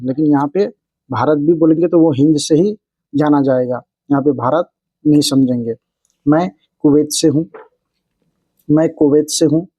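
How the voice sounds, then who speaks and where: A man giving a casual spoken language lesson, repeating each example phrase slowly and explaining it word by word. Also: fairly high pitch, a little mellow, no room sound, low-quality recording. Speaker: Arabic language teacher. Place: Saudi Arabia.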